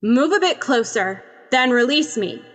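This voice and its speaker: A woman's voice: fairly high pitch, lively intonation, a little slow, a bit bright, long reverb tail. A woman voicing a cocky, short-tempered young man who barks curt orders in an audiobook story. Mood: commanding. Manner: barking, curt commands. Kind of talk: audiobook character voice.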